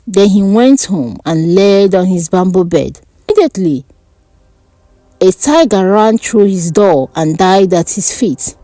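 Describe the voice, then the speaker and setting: A woman narrating a dark fantasy folk tale in a dramatic, deliberate way. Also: mid-range voice, lively intonation, dry recording. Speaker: storyteller. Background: background music.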